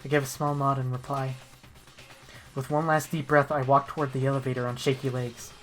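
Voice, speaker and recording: A man's voice: medium pitch, little pitch movement, brisk. A man streaming a video game, chatting casually, joking and swearing, and reading the story aloud. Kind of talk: casual playthrough chat and narration. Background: game music.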